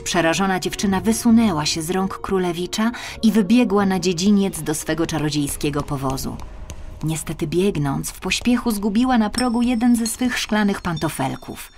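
A woman reads a children's fairy tale expressively. Her voice sits a little low, and music plays behind the narration. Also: moderate pace, darkish tone. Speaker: audiobook narrator.

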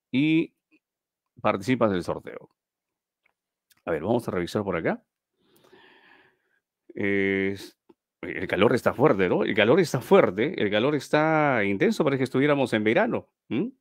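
A man's voice: mid-range voice, no echo, clean studio sound. A middle-aged man hosts a live stream giveaway from a small room on a webcam, chatting, repeating himself and reading out viewers' names.

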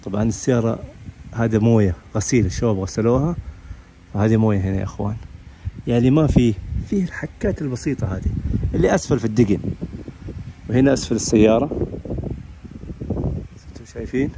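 A man's voice: mid-range voice, fast talker, long pauses. A man you would hear at an indoor car auction, rattling through a vehicle walk-around over faint ambient noise. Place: large indoor car showroom and workshop.

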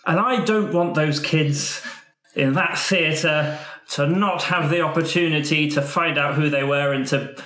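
A man improvising in character in a roleplaying game, speaking earnestly and haltingly, often repeating himself. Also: a little high, fairly bright tone. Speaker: tabletop roleplay player, performer. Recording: roomy, echoing room sound.

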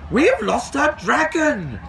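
A man voicing an earnest, worried, excitable male engine driver in a storybook reading. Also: extremely high pitch, a little slow, gravelly, crowd noise, pitched above the performer's own voice, exaggerated voice. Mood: indignant. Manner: earnest, indignant. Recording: outdoors with background bustle.

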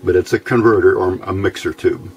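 A man giving an informal, unscripted hands-on tutorial in a plain-spoken, halting way, recorded indoors at a workbench on a camera mic. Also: low voice, steady tone, a little hiss or hum. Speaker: host of a hands-on electronics tutorial.

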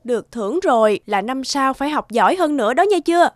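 A woman voicing a caring, gently chiding young mother in an animated cartoon. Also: sits high, quick pace, no echo, clean studio sound. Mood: encouraging.

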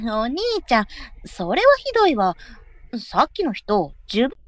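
A woman playing a naive, lively younger sister in a period voice drama, with a Kansai accent. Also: fairly high pitch, unhurried. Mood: amused, chiding. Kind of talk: online live voice drama acting.

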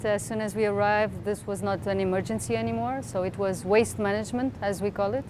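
A woman's voice: fairly even intonation, brisk. Portuguese-accented woman, noisy outdoor interview. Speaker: waste management expert. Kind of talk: interview answer. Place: outdoors above a town. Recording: clear outdoor traffic rumble.

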